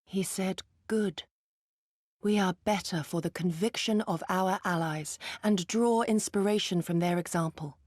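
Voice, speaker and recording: Casting: dutiful female shinobi for game drama, lowish voice, formal and solemn, dry close sound. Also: a little slow, a little mellow, no echo. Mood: solemn, wistful. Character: earnest, dutiful female shinobi resistance fighter. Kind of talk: dramatic video game acting.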